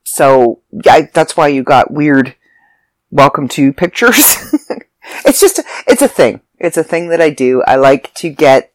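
A congested, slightly raspy speaker telling a rambling, chatty travel story to listeners. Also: mid-range voice, lively intonation, a little slow, no room sound, poor audio. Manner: chatty, rambling, self-interrupting. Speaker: vlogger. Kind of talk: casual storytelling monologue. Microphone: close mic, audio only.

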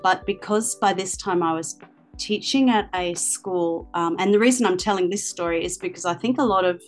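A woman in her fifties talks through her teaching career with a chatty, storytelling manner. Her voice sits a little low. Soft music plays quietly behind her. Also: normal speed. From Australia. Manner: chatty, storytelling with many ums. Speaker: guest, language teacher and author. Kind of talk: interview answers.

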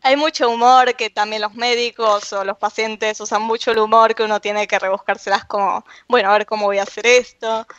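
An Argentine woman tells hospital anecdotes in a casual chat, jumping into little voices for the people in them. Her voice sits high, the sound is dry and a bit dull, with the top end rolled off. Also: brisk, hardly any pauses, dry recording. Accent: Argentine Spanish. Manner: animated anecdote telling, acts out voices. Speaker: medical interpreter.

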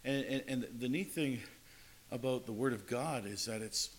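A man's voice: medium pitch, normal speed, a little hiss or hum. A man preaching a sermon in an earnest way, often repeating and restarting his words. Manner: earnest, repetitive phrasing with frequent restarts.

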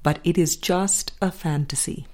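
A woman reading a spiritual book aloud as scripted narration, in measured, deliberate phrases with pauses. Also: sits low, steady tone, a little mellow. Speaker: audiobook reader.

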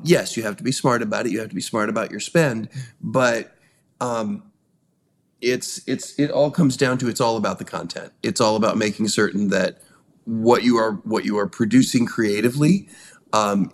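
A man's voice: lowish voice, normal speed. A man co-hosting a podcast, talking earnestly and repeating phrases for emphasis. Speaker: podcast co-host. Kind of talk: casual discussion.

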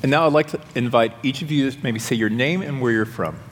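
A man's voice: mid-range voice, fast talker. A man pastor addressing the congregation and welcoming new members into the church. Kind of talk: church service leading. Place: large church sanctuary. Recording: church hall reverb with congregation noise.

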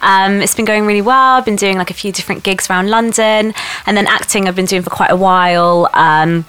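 Woman, bright forceful voice, medium pitch, bubbly, enthusiastic, casual interview chat. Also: fairly quick, clean tone. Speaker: guest comedian and actor.

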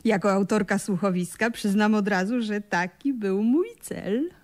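A woman playing a self-assured playwright who narrates a radio play, speaking directly to listeners in a measured, confiding way. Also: medium pitch, lightly acted. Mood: confiding, wry.

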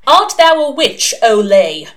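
A woman's voice: medium pitch, very animated, a little fast, close to an everyday voice. A woman voicing a pompous schoolboy ringleader in a storybook, firm, commanding and mock-solemn. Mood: magisterial. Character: pompous, commanding schoolboy playing chief inquisitor.

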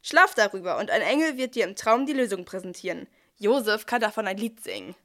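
A woman's voice: mid-range voice, animated, fairly quick, a bit bright, natural voice. A woman playing a chatty archangel messenger with a salesman's cheek, narrating a scripted nativity audio play. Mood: upbeat, promotional.

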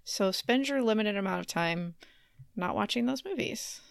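Female co-host, medium pitch with very animated delivery, casual comedic chat, dry sound. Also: normal speed, creaky, no echo. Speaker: podcast co-host. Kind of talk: casual comedy banter, reading joke news items.

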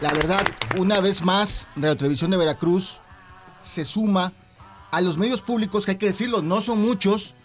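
A male guest talks in a formal, measured way, pausing now and then to find his words. His voice sits fairly high, with faint music in the background. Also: a crowd in the background. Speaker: guest, broadcaster director. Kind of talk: studio interview.

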